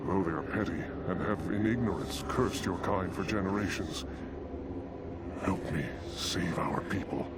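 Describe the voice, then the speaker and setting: An actor playing a noble, resolute alien commander in a video game, with formal, grave delivery. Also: male voice, low voice, a little slow, heavy background noise. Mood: earnest, reverent. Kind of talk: scripted video game acting.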